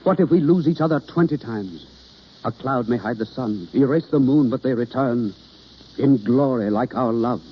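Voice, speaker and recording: An actor playing an instructive, theatrical understudy coaching a novice in an old-time radio drama, on a noisy, dull vintage recording. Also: male voice, mid-range voice, normal speed, slightly rough, natural voice. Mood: romantic, declaiming. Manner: instructive, theatrical, hesitant with uhs. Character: male theatre understudy coaching a novice, impatient yet kindly.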